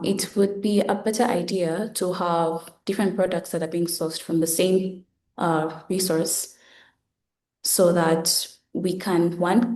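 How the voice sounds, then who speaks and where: Low-voiced young woman, echoey. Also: a little mellow. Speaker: student presenter. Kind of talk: semi-prepared project presentation. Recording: roomy, echoing online call.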